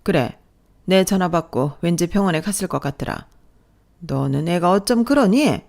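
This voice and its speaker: A woman voicing a domineering middle-aged mother-in-law who sneers and belittles in a sharp, high voice, as part of a told story. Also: animated, normal speed, gravelly. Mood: scolding. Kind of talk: storytelling with acted dialogue.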